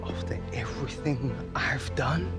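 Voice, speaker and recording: An actor playing a young footballer in a video game drama, emotional and feeling betrayed. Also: male voice, mid-range voice, measured pace, over loud music, natural voice. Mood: angry, betrayed. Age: young adult. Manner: confrontational, emotional.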